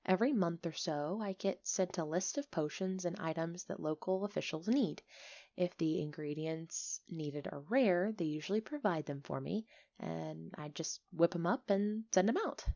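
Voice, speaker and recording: A woman playing a friendly, chatty, excitable village alchemist in an ASMR roleplay, expressive and a little flustered, on a slightly dull recording. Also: fairly low pitch, moderate pace, creaky. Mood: eager, explaining. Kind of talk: ASMR roleplay acting, one-sided conversation.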